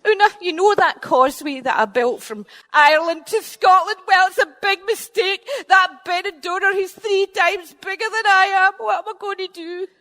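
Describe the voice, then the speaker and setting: Woman storyteller voices a boastful giant gone to pieces with fright, high-pitched and whining. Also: normal speed, few pauses, sharp tone, big-room sound. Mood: panicky. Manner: frantic, whiny, high-pitched.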